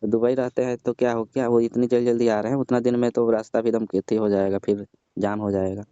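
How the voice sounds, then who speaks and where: A man on a phone call who sounds grumbling and argumentative, with a mid-pitched, dark voice. The line is muffled and dry, like a recorded phone call. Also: flat delivery, fast talker, clean tone, dry recording. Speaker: caller. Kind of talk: scripted comedy phone call.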